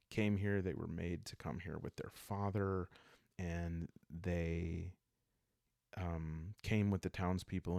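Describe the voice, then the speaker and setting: A soft-spoken man with a deep voice that crackles with vocal fry narrates a solo roleplay at an unhurried, halting pace. His delivery is calm and meant for falling asleep. The sound is dry, with no room around it. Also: no room sound. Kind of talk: calm improvised solo roleplay narration, sleep-aid ASMR.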